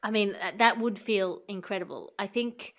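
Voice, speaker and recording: A woman's voice: medium pitch, moderate pace, fairly bright tone. A woman hosting a comedy podcast, moving between scripted comic news links and bantering chat. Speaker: host of a comedy panel podcast.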